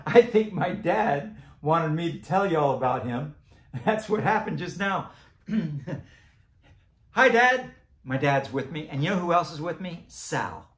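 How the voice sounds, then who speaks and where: A man's voice: a little high, big pitch swings, slow pace, fairly bright tone, reverberant. A man with a cracking, fading voice, chatting casually in a vlog, rambling, chuckling and correcting himself as he goes. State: losing his voice, cracking. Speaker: creator and seller of animatronic band items. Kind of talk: casual unboxing vlog chat.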